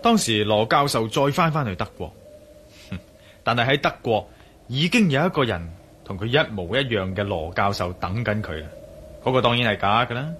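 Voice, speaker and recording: A man's voice: medium pitch, lively intonation, moderate pace, a bit bright, a little hiss or hum, close to an everyday voice. A man acting as a worldly, teasing adventurer in a radio drama, with a Hong Kong Cantonese accent and an emphatic, bantering delivery. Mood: serious, firm. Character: worldly, teasing adventurer, urgent when crossed.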